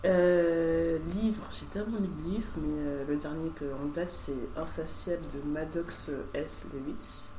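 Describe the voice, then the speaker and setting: A young woman with a low-pitched voice hosts a relaxed sibling chat. She talks informally, with plenty of slang. The recording is roomy and distant, with clear background noise. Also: measured pace, hardly any pauses. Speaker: podcast host.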